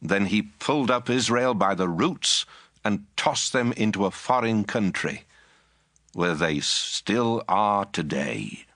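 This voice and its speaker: A man's voice: lowish voice, expressive, takes it slowly, a bit bright, no echo, clean studio sound, exaggerated voice. An actor playing a grave man of Israel who solemnly explains divine punishment in a dramatized Bible reading. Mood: grave, somber.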